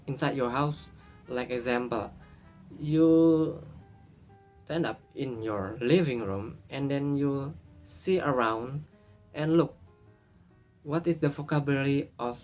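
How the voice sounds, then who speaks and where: A man with an Indonesian accent and Indonesian as his first language, talking to camera in a casual vlog, halting and often correcting himself mid-sentence. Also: mid-range voice, a little mellow. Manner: halting, frequent restarts and self-corrections. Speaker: YouTuber.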